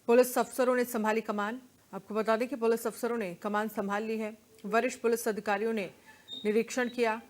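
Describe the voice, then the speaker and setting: A woman's voice: on the high side, flat delivery, brisk. A woman, presenting live news and putting questions to a reporter as anchor.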